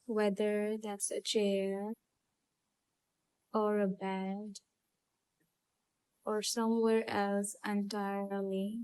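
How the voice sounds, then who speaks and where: Slow, mellow woman guiding a visualisation. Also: mid-range voice, smooth, no echo. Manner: calm, soothing, unhurried with long pauses. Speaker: hypnotherapy channel host and guide. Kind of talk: guided visualisation, gentle hypnotic storytelling.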